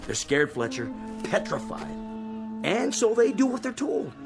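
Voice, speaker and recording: An American actor playing a cocky, power-hungry astronaut technician in a radio play, gloating and excitable. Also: male voice, sits high, measured pace, creaky, over music, heavily acted. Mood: grandiose, defiant. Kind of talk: dramatic radio play acting.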